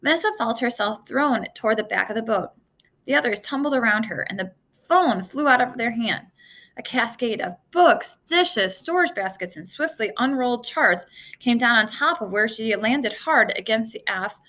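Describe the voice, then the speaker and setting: A woman reading a children's adventure novel aloud in an expressive way, occasionally stumbling over a word. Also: fairly high pitch, moderate pace. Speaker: storybook reader.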